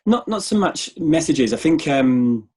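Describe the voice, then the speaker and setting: A man speaking as a guest in a conversational business interview, measured and often repeating words as he goes. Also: on the high side, lively intonation, dark tone, slightly rough. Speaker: interview guest, sales manager.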